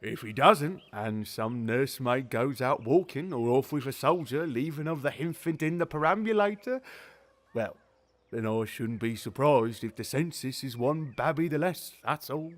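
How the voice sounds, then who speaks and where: A man's voice: medium pitch, animated, normal speed, lightly acted. A man playing a chatty, sly, working-class zookeeper in middle age, rambling folksily in an audio drama. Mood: amused, grim humour. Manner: folksy, colloquial, rambling storyteller. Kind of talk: audio drama dialogue, character storytelling.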